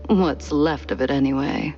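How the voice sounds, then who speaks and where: A woman's voice: very deep voice, moderate pace, highs cut off, faint music behind. A woman playing a sly, elegant, world-weary socialite in a noir cartoon game, with a refined, affected drawl. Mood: sly, advising.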